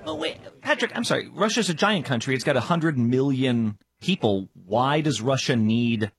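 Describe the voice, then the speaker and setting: Animated American male news host. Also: medium pitch, moderate pace, vocal fry. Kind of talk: conversational news narration.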